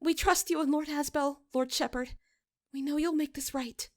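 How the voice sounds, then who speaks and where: Narrator doing a nervous young churchgoer girl, high voice, pleading, storybook style. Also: fairly even intonation, moderate pace, natural voice. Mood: trusting. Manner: earnest, pleading. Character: anxious young woman parishioner, earnest and pleading.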